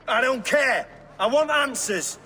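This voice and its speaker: A man's voice: very high-pitched, lively intonation, a little slow, sharp tone, long reverb tail, faint music behind, heavily acted. A man playing a blunt, commanding, hot-tempered Viking lord in a video game. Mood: angry. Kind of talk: dramatic video game acting.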